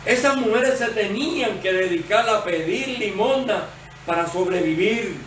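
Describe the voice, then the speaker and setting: High-voiced preacher in a roomy church. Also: male voice, expressive, fairly bright tone. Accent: Puerto Rican Spanish. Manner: measured, explanatory preaching. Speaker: associate pastor. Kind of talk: sermon. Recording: roomy, distant church recording with faint noise.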